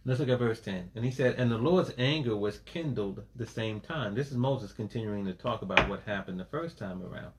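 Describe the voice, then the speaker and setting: A man's voice: fairly low pitch, brisk, hardly any pauses, rough recording. A man preaching a sermon, calling for amens and mixing scripture with folksy everyday stories. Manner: preaching with call-and-response, folksy asides, repeated "amen". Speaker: pastor.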